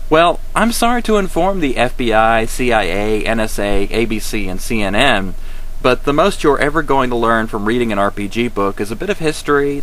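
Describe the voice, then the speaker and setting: A man delivers scripted commentary as a web show host. His voice sits a little low, and he is wry, sarcastic and emphatic. There is slight noise behind him. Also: fairly bright tone, low-quality recording. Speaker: host of a web series on roleplaying games. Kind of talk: scripted narration, comic commentary.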